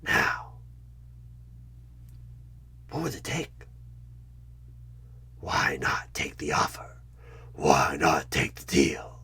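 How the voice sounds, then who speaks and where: A man playing a sinister devilish tempter in a horror ASMR roleplay, slow and coaxing with a breathy, husky half-whisper and menace. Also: very deep voice, very animated, full character voice. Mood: tempting, probing. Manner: slow, menacing, coaxing, with sudden loud bursts.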